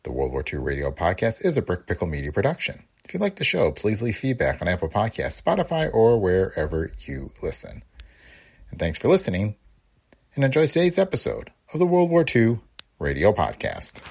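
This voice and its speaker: A man's voice: lowish voice, huge pitch swings, brisk, vocal fry, a little mellow, breathy edge. A man reading a scripted introduction as a podcast host.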